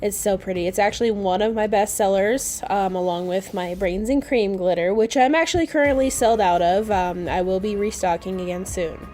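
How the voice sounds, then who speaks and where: A woman's voice: medium pitch, normal speed, hardly any pauses, dark tone, soft background music. A woman narrating a casual makeup tutorial in a playful, gushing, informal way.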